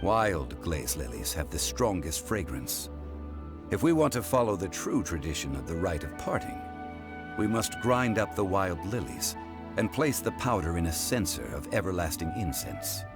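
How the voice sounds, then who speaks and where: An actor playing a refined, knowledgeable, composed gentleman in a video game, speaking in a formal, measured, explanatory way. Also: sits low, very animated. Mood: composed, instructive. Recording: music behind the voice.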